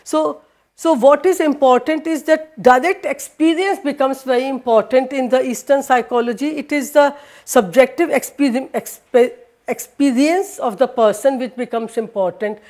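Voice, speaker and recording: High-voiced woman lecturing, hesitant and halting. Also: a little mellow. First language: Hindi. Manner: hesitant, many fillers and restarts, switches into Danish. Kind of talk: university lecture. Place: lecture room with projector.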